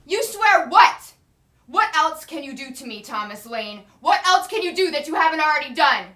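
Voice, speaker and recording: An actress playing a wife who fears for her husband, swinging from whispered accusations to shouted anger. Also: medium pitch, unhurried, reverberant. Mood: furious. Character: worried wife confronting obsessed astronaut husband.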